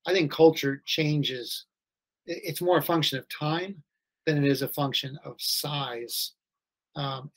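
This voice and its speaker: A middle-aged man, a school head, speaking as a podcast guest over a video call in a thoughtful, measured way. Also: mid-range voice, a bit bright, poor audio. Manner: thoughtful, measured, restarts phrases. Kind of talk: casual interview chat. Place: home or office, video call.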